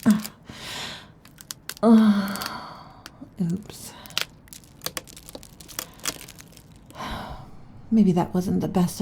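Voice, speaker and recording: A woman voicing a restless, irritable female snake-tailed lamia in an ASMR roleplay, huffy and dramatic, often slipping into soft whispers. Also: on the low side, a little hiss or hum. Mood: sulky.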